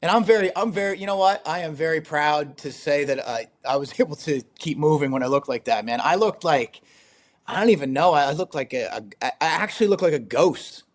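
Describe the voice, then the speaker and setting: A young man with a slightly raspy voice, talking casually as an interview guest, rambling with lots of fillers and frequent laughs. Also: on the high side, a little fast. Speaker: guest, ultrarunner.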